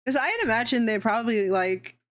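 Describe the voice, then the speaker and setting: A woman's voice: a little high, expressive, brisk. A young adult woman hosting a casual livestream, chatting in a rambling way, swearing freely and laughing often. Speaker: VTuber streamer hosting a worldbuilding session.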